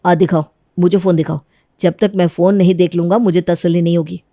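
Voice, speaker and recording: A woman's voice: fairly low pitch, fairly even intonation, brisk, warm tone, dry recording, studio quality, lightly acted. A woman voicing a stern, suspicious young husband in a moral story, scolding and questioning. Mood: angry, suspicious.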